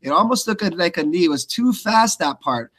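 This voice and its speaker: A man's voice: high voice, animated, moderate pace, fairly bright tone. A man doing live blow-by-blow fight commentary in short, clipped phrases.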